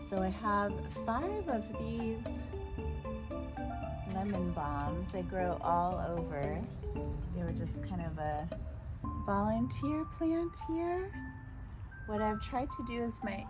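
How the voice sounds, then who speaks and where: A woman vlogging casually from her backyard garden, a little hoarse from a cold, chatting gently as she arranges flowers. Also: medium pitch. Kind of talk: casual garden vlog, demonstrating.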